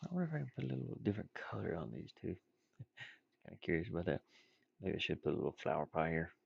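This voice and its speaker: Deep, gravelly man muttering casually. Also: big pitch swings, fast, dry recording, dull audio. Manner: muttering, rambling, playful asides. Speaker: indie game designer streaming. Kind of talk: casual chat while drawing game art, thinking aloud.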